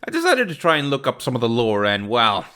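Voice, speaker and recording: A man's voice: mid-range voice, wildly swooping pitch, fairly quick, sharp tone, smooth. A man hosting a video review, giving casual scripted commentary in a jokey, emphatic way.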